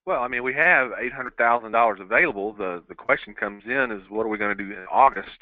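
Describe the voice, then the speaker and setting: A man's voice: medium pitch, fast talker, no echo. A male chief financial officer answering investor questions over a telephone conference call. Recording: thin dry telephone line.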